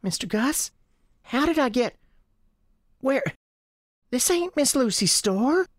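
A woman's voice: high-pitched, expressive, slow pace, no room sound, studio quality, exaggerated voice. A woman playing a lost, polite young girl with a Southern US accent in a radio drama, earnest and childlike. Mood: anxious, searching.

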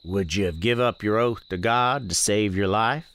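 An actor playing a plainspoken, watchful country storekeeper, narrating a supernatural radio drama in a deliberate, homespun way. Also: male voice, fairly low pitch, a bit bright, smooth. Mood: probing. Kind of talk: first-person radio drama narration and dialogue.

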